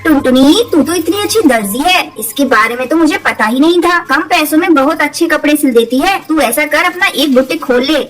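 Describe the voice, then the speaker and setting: A woman's voice: high voice, brisk, hardly any pauses, a bit bright. A woman voicing a friendly, encouraging auntie bird in a children's cartoon. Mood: impressed. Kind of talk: children's cartoon story dialogue. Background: music.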